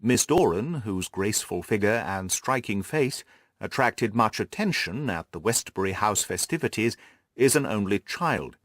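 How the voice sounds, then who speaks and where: A man voicing an earnest, orderly gentleman companion in an audiobook, measured and deliberate in manner. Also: fairly low pitch, no room sound, clean studio sound. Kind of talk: audiobook character voice.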